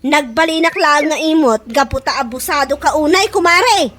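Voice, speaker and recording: A woman's voice: high-pitched, normal speed, a bit bright. A woman playing a fed-up, indignant friend in an Ilocano comedy radio drama, speaking emphatically with an Ilocano accent. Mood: furious, scolding. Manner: emphatic, scolding.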